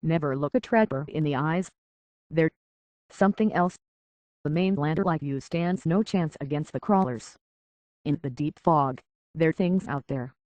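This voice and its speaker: An actor playing a gruff, proud, older male island hunter in a video game, with a gravelly, husky voice and folksy, rustic speech. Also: a little high, no echo, highs cut off, lightly acted. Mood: wary, gruff. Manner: folksy rustic speech with dropped grammar and ain't. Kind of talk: video game dialogue acting.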